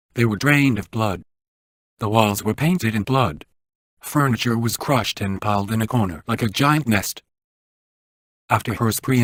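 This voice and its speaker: An actor playing a rattled, gloomy hotel employee in a video game, anxious and weary with a dramatic manner. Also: male voice, medium pitch, no room sound, lightly acted. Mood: grave, ominous.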